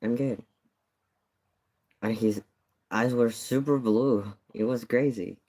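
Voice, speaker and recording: A man's voice: mid-range voice, fairly even intonation, slow, mellow. A man streaming and chatting casually over a video, making rambling, offhand remarks.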